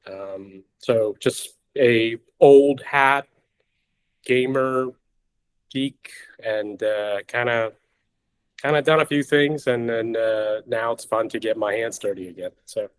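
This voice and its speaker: Middle-aged male guest from Boston, slow and halting, casual interview talk. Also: medium pitch, clean tone, poor audio. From Boston, United States. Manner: halting, pausing often mid-sentence. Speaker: guest, company founder and investor. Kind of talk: casual introduction in an online interview.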